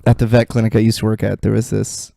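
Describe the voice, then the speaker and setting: A man's voice: on the low side, level tone, fast, creaky, mellow, no room sound. A man co-hosting a podcast, chatting casually in loose, slangy, sometimes profane phrasing. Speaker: podcast co-host. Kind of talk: casual chat.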